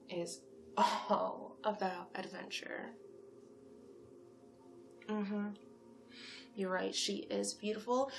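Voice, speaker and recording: A woman in a soft-spoken ASMR roleplay as a friendly, flattering matchmaker, gently encouraging and often slipping into whispers. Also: medium pitch, creaky, slight background noise. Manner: gentle, encouraging, salesy, unhurried with frequent whispered phrases. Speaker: ASMR roleplay performer playing a monster matchmaker.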